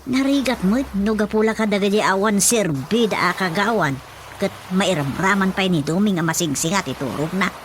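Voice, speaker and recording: A woman's voice: mid-range voice, normal speed, a little hiss or hum, lightly acted. An actress playing a fretful, plain-spoken older mother who complains matter-of-factly in an Ilocano radio drama comedy. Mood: disapproving. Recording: music behind the voice.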